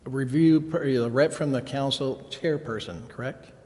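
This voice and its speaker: A man's voice: medium pitch, moderate pace, a little mellow. A man speaking as a council member, questioning procedure in a meeting discussion. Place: indoor council chamber. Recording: reverberant council chamber.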